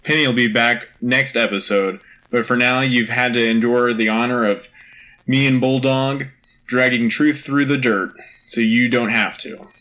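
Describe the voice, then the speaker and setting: An earnest wartime news anchor, acted by a man reading bulletins for a radio drama. The voice is mid-pitched, bright and forceful, with clipped, solemn, dramatic phrasing. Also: steady tone, unhurried, low-quality recording. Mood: wry. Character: Joe Turner, earnest propaganda-era war news anchor. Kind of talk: scripted wartime news broadcast acting.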